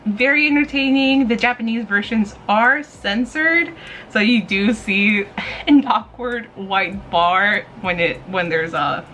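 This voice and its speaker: Female video host, medium pitch, chatty and slangy fan-style gushing, recorded with slight room noise. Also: slow pace, pushed and bright. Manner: gushing, giggly fan talk with slangy asides. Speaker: YouTube host giving manga recommendations. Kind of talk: casual, chatty review to camera.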